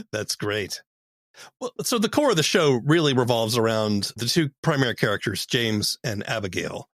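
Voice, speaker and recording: Male interviewer, really varied pitch, close dry recording, casual questions. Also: sing-song, moderate pace, fairly bright tone, no echo. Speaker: interviewer, host.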